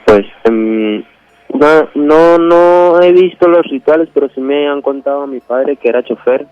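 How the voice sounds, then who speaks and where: A man calling a radio show, telling a personal story in a halting, colloquial way. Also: a little high, soft background music. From Venezuela. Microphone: telephone. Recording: dull, dry phone call to radio.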